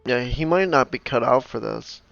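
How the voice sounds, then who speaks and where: A man's voice: mid-range voice, animated, normal speed, creaky, a bit bright. A man giving casual gameplay commentary, reacting to dialogue in an offhand, dryly judging way. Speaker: gamer reacting to game dialogue. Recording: slightly dull mic, faint game music.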